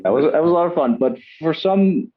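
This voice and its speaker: A man from Iceland, presenting his work on a podcast in a relaxed, self-deprecating way with many fillers. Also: fairly high pitch, a little fast, dull audio. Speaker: guest, acoustics company head.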